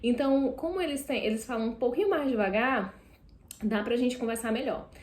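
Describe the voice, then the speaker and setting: Brazilian girl chatting to cam, kinda high voice, echoey room, keeps fixing her words. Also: fairly quick. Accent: Brazilian Portuguese. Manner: chatty, self-correcting, animated asides. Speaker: vlogger. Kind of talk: casual chat to camera. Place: seated indoors.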